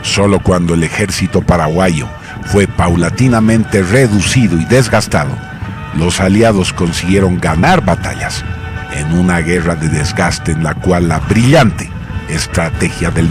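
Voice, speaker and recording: A man narrating a scripted radio drama in a solemn, grandiose manner with measured phrasing. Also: deep voice. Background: music.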